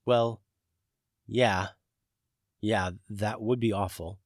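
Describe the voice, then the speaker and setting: A man's voice: lowish voice, fairly even intonation, extremely slow, dry recording, clean studio sound. A man voicing an anxious, friendly male dragon who stammers when flustered. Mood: agreeing.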